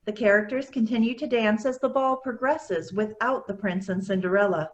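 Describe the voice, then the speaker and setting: A woman's voice: fairly high pitch, normal speed. A woman reading stage directions as scripted narration for a play.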